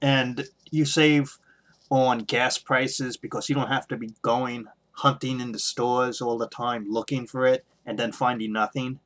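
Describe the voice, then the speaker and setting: A middle-aged man with a medium-pitched voice speaks off the cuff, like a hobby video blogger giving his take. His delivery is halting and hesitant, often pausing as he works out the next phrase. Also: fairly even intonation, a bit bright. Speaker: video blogger on toy collecting. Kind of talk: unscripted opinion monologue.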